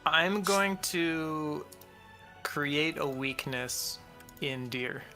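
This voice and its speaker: A man's voice: fairly high pitch, very slow, sharp tone, faint music behind. A man talking casually as a player in a tabletop role-playing game.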